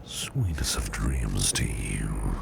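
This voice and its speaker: Man acting a very deep, gravelly, whispering protective boss. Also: noisy background, heavily acted. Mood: soothing, tender. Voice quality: breathy near-whisper with gravelly fry. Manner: tender, slow, reassuring murmur. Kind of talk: ASMR roleplay, soothing personal attention.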